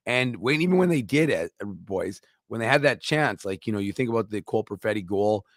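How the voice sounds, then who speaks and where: A man's voice: fairly low pitch, expressive, no room sound. Emphatic man talking hockey, dry sound. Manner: emphatic, quick to agree. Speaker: sports talk show host. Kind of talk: casual sports chat.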